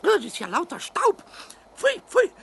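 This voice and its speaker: A man playing a cheeky, childlike little kobold in a children's radio play, shrill and creaky, stammering and repeating words excitedly. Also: unhurried, full character voice. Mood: curious.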